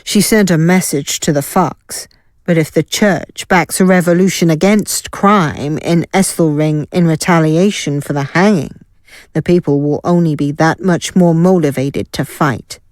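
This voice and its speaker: A woman's voice: sits low, unhurried, a little mellow, dry recording. A woman playing an assured, wry guild fighter in a fantasy audio drama. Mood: confident. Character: Ilaeda, confident wry female guild fighter. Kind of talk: scripted audio drama acting.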